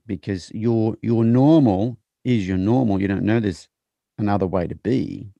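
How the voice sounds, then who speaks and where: A middle-aged man, a horse trainer, talking in a casual interview with halting restarts. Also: on the low side, creaky, dark tone, no echo.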